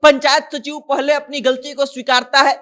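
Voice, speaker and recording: The voice is notably high in pitch. The speaker presents news as informal commentary, retelling events in a conversational way and relaying who said what. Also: little pitch movement, moderate pace, fairly bright tone. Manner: conversational retelling, acting out quoted dialogue. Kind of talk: informal news commentary recounting a viral story.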